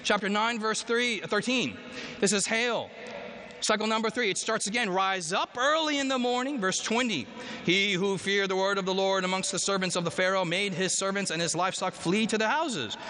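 A man's voice: high voice, sharp tone. A man preaching a sermon and reading scripture, speaking emphatically and quizzing his congregation. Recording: long hall reverb, faint noise.

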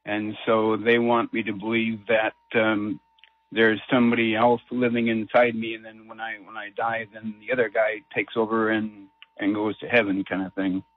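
A man's voice: on the low side, level tone, normal speed, no room sound. Hesitant man on a tinny phone line. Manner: hesitant, searching for words. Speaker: caller. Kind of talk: phone-in question.

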